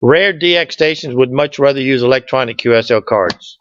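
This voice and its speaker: A man presents slides in a casual, folksy way and jokes with the people listening. His voice sits at a middling pitch. The recording is dull and dry, with little echo. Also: brisk, a bit bright. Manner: folksy, joking with the audience. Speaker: club presenter. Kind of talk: informal slide presentation with audience back-and-forth. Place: meeting room, standing at a lectern indoors.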